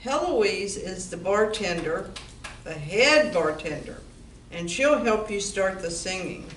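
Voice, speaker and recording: A woman's voice: mid-range voice, lively intonation, takes it slowly, fairly bright tone. A woman playing a wry, practical bar owner in an amateur comedy play, speaking in a dry, businesslike way. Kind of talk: amateur stage comedy radio play acting. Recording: echoey room from far off, background noise.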